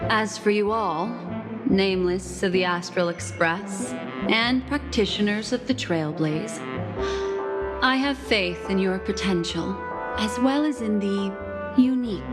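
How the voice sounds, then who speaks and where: An actress voicing an enigmatic, elegant woman who collects memories in a video game, poised and deliberate in her formal phrasing. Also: medium pitch, lightly acted. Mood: serene, alluring. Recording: loud music behind the voice.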